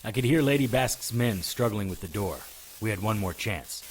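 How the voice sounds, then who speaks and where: A man playing a hard-boiled private investigator in an audio drama, dry and deadpan. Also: on the low side, normal speed, husky, poor audio. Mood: grim, narrating. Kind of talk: audio drama narration and dialogue.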